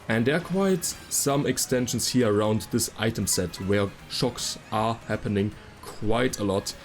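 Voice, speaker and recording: A man's voice: mid-range voice, darkish tone. A man giving casual, detailed explanatory commentary as a video game guide creator. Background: game music.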